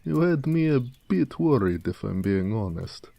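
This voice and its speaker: A man playing a gentle, affectionate orc blacksmith in a romantic audio roleplay, speaking tenderly with some teasing. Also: lowish voice, sing-song, moderate pace, gravelly. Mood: fond, teasing.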